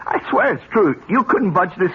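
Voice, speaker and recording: A man's voice: high voice, sing-song, normal speed, a little hiss or hum. An American actor playing a rueful, plain-spoken corporate man who talks colloquially and emphatically in a vintage radio drama. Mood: rueful, emphatic. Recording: dull old radio recording, crowd murmur behind.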